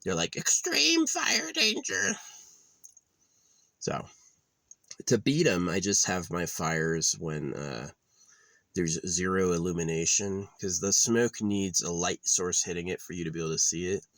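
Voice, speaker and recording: Young man, very low voice, meandering casual delivery, dry close sound. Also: normal speed, dry recording. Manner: meandering, offhand, trailing off with filler. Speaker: vlogger. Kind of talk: rambling casual monologue. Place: outdoors at a quiet wooded campsite.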